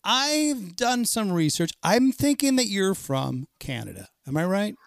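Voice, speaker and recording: An eager middle-aged man hosts a relaxed interview, his voice sitting a little high and his words tumbling over quick restarts. The sound is close and dry, with no room around it. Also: gravelly, no room sound. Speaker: podcast host. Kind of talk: casual interview chat.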